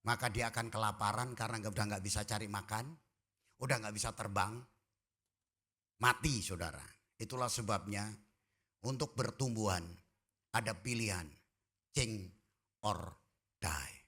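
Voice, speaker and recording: A man preaching a sermon as pastor, folksy and joking with the congregation, swinging from quiet asides to loud shouted emphasis. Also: fairly low pitch, moderate pace, long pauses. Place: church.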